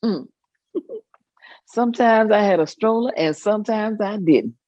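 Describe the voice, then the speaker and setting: A woman's voice: mid-range voice, a little slow, a little mellow, dry recording, muffled recording, lightly acted. An actress playing a proud, amused older mother with a Southern US accent, telling folksy stories in a staged reading. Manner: folksy, chuckling storytelling. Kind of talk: staged reading of oral history.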